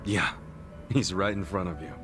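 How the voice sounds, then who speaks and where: An actor playing a brash, good-hearted ex-yakuza in his forties for a video game, with a casual, blunt, slangy and expressive delivery. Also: low voice, normal speed, over music, lightly acted. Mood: wry, sympathetic. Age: 40s. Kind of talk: English video game voice acting.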